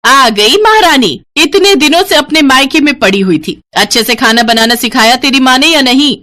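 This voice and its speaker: A woman voicing a sharp-tongued, contemptuous older mother-in-law in a Hindi cartoon story, loud and taunting. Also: sits high, lively intonation, normal speed, pitched above the performer's own voice. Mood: sarcastic, demanding.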